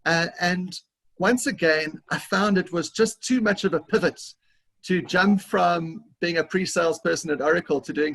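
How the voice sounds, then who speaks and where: South African man, upbeat talker, slightly high pitch, video-call mic. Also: gravelly, fairly bright tone. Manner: enthusiastic, talkative, with fillers and laughs. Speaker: guest consultant. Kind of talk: interview over video call.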